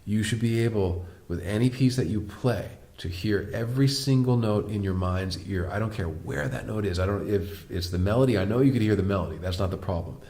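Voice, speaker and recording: A man with a deep voice teaching a piano lesson, patiently explaining step by step and asking the viewer questions. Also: brisk, hardly any pauses, dark tone. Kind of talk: online music lesson.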